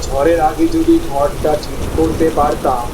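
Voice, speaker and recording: Cartoon farmer father, played by a man, slightly high voice, weary, gentle, resigned, clear storm noise behind. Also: fairly even intonation, moderate pace, recorded from a distance, natural voice.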